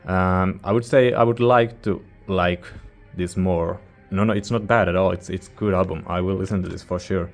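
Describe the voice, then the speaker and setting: Male reviewer, non-native English speaker: low-pitched, very even intonation, informal and halting, rambling casually. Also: soft background music. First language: not English. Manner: hesitant, informal, searching for words. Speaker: music reviewer, channel host.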